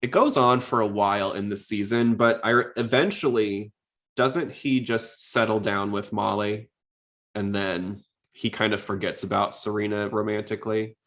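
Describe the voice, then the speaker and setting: Low-voiced man chatting casually on a podcast. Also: steady tone, normal speed, fairly bright tone. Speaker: podcast host. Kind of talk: casual podcast chat about anime.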